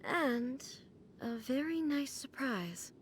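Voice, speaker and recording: A woman's voice: high voice, animated, slow, a little hiss or hum. A woman voicing a proud, solemn immortal goddess ruler in a video game, with formal, stern and reserved speech. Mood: softened, hesitant.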